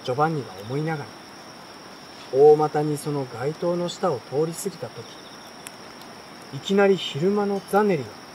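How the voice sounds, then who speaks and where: A man reading a children's story aloud in a relaxed, unhurried way, pausing between short phrases. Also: on the high side, low-quality recording. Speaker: reader. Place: outdoors at night by a campfire at a campsite. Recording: clear insect and outdoor night noise. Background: insects, stream, campfire.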